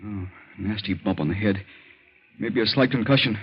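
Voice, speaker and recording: A husky young American doctor from an old radio drama. He is earnest and shaken, speaking with hesitant restraint. The sound is dull and dated. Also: lowish voice, moderate pace. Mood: concerned, clinical. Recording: muffled vintage radio recording.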